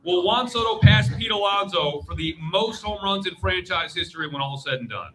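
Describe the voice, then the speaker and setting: Bright, forceful man hosting sports talk. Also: sits high, expressive, a little fast, faint music behind, low-quality recording. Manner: blunt, insistent, punchy. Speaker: panel show host. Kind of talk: live sports talk panel. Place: live on stage at a fan convention.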